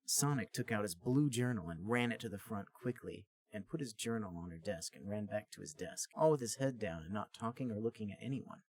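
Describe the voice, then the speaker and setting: A man's voice: lowish voice, animated, brisk, hardly any pauses, darkish tone, breathy edge. A man hosting a comedy podcast, narrating a story aloud in a plain storybook manner. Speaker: podcast host reading a story. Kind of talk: comic read-aloud narration.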